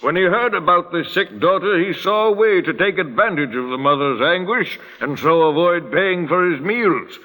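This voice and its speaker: An actor playing a calm, authoritative judge in a 1940s radio drama, formal and deliberate. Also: male voice, high voice. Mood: commanding, assured. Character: authoritative, calmly reasoning English judge. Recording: reverberant old radio drama recording.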